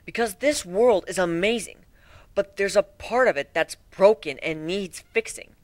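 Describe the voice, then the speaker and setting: A man's voice: high-pitched, a little slow, no echo. A man giving an amateur dramatic reading of a fan fiction story in a theatrical manner. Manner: theatrical, acts out dialogue.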